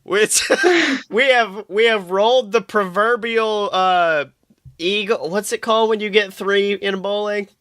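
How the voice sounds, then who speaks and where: A woman banters casually as a comedy podcast co-host, profane and joking. Her voice is bright and mid-pitched, recorded close and dry. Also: fairly even intonation, a little slow, dry recording.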